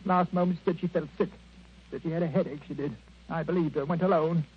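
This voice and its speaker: An actor playing a miserly, self-pitying husband, agitated, whining and melodramatic, in 1940s radio drama style. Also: male voice, fairly high pitch, steady tone, fast, husky. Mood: bitter. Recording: muffled, hissy 1940s radio broadcast.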